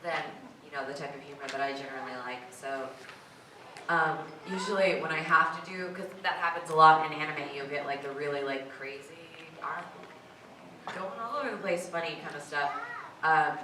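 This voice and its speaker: Low, hoarse woman in an echoey hall. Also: normal speed. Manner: chatty and self-deprecating, many fillers. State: hoarse, raspy voice. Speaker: voice actress, convention panel guest. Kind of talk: casual panel Q&A answers. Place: convention panel room. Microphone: filmed by a camera from across the room. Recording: echoey hall from far off with crowd noise.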